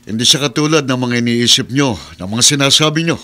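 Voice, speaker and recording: A man's voice: mid-range voice, brisk, bright, forceful tone, natural voice. A man playing an earnest, loyal young groom in a radio soap, pleading in Tagalog mixed with English. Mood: hurt, steadfast. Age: young adult. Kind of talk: Philippine radio soap opera acting.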